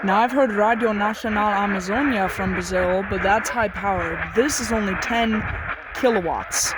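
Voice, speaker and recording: Radio hobbyist of unclear sex, mid pitch, casual and musing commentary, heavy shortwave static behind. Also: moderate pace. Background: shortwave radio static and broadcasts.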